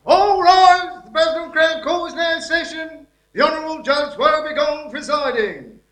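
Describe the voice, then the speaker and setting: A man's voice: very high-pitched, moderate pace, bright, forceful tone. A man acting in a live comedy as a pompous court clerk, formally proclaiming. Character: shrill, pompous court clerk announcing the judge. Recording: echoey live stage recording.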